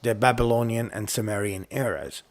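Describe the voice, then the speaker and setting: A man's voice: lowish voice, normal speed. A man from Iraq whose first language is Arabic, speaking English with an Arabic accent, co-hosting a casual chat with many fillers and restarts. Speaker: podcast co-host. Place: lives in the United States.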